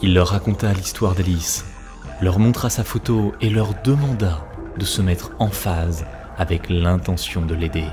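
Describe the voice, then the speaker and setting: A man's voice: low-pitched, steady tone, measured pace. A man narrating a scripted story for a cartoon, as a storyteller. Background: music.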